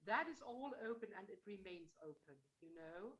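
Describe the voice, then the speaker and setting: A woman's voice: medium pitch, expressive, normal speed, poor audio. A middle-aged woman coaching a singer over a video call, sometimes singing phrases to show them and calling the singer "darling.". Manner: demonstrates phrases by singing, then explains warmly with terms like "darling". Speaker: voice teacher. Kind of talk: masterclass coaching.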